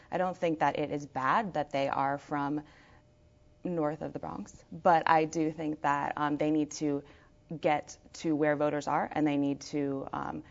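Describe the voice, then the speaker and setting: A woman working as a political reporter, offering careful, hedged analysis. Her voice is low and has a gravelly fry. The audio sounds a bit muffled.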